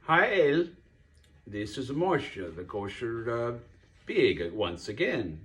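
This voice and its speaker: Guy doing a friendly pig puppet for kids, slow and halting, pitch goes up and down a lot. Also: sing-song, a bit bright. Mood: friendly, instructive. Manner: hesitant, halting, explanatory. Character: friendly kosher pig puppet teaching a child about Hanukkah. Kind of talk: children's puppet show.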